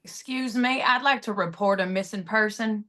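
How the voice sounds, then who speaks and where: A medium-pitched voice for an anxious mother acted by a woman. She is earnest and insistent, worried about her grown daughter, in a natural screenplay read. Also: moderate pace, pushed and bright, close to an everyday voice. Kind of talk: screenplay table read acting.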